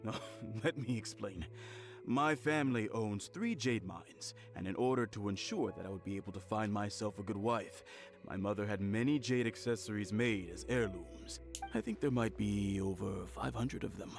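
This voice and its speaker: A gravelly, polite innkeeper. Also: male voice, lowish voice, normal speed, over music. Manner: polite, earnest. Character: rich young male innkeeper, mild and apologetic. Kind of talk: video game voice acting.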